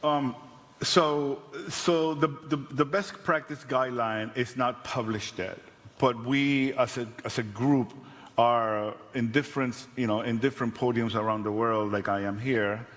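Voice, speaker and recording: A man on a conference panel, answering a question in a halting way and repeating words as he restarts. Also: medium pitch, steady tone, a bit bright. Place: large conference hall. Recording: reverberant conference hall.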